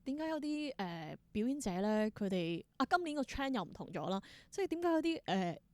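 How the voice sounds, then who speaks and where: Expressive, higher-voiced Hong Kong woman. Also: fairly quick, no echo. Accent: Hong Kong Cantonese. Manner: expressive, exclaims in wonder, mixes in English words. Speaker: interview guest, theatre performer. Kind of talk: casual interview storytelling.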